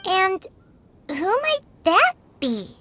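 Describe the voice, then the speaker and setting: A woman voicing a tiny, excitable, childlike fairy companion with a squeaky voice in a video game. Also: drawn-out words, exaggerated voice. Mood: puzzled. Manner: speaks of herself in third person, bubbly. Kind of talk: animated video game acting.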